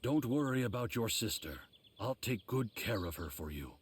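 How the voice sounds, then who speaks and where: A man voicing a stern but caring old sword master in an anime game, gruff and solemn. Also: sing-song, a little hiss or hum, close to an everyday voice. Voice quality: husky, gruff, low. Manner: measured, solemn mentoring.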